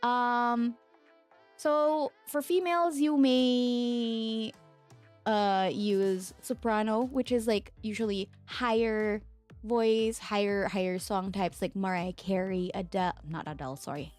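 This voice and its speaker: A young woman from the Philippines talks casually on a desk mic, rambling with fillers and frequent laughs as she answers her viewers. A lingering sore throat keeps her voice low. Faint music plays underneath. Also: fairly even intonation, slow, a little mellow. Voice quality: mezzo-soprano singing voice. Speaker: streamer. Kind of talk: casual chat with chat readers.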